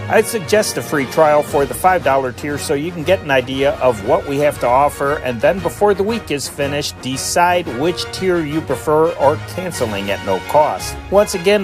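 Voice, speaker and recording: A man speaking as the host of a channel, introducing vintage radio programmes. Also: on the high side.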